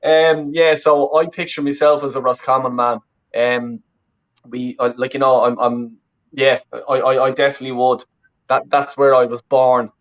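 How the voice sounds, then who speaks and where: A man's voice: mid-range voice, little pitch movement, moderate pace, muffled recording. A man from the west of Ireland with a Dublin accent, chatting casually as an interview guest and rambling with lots of self-corrections. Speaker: interview guest, fan channel creator. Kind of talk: casual chat.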